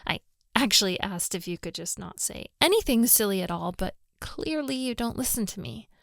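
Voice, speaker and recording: A woman's voice: mid-range voice, expressive, moderate pace, fairly bright tone, dry recording, clean studio sound. A woman playing a hot-tempered, lovestruck young daughter of a mafia boss in a girlfriend roleplay audio drama, exasperated and scolding. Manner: scolding, exasperated, with sighs and breathy asides.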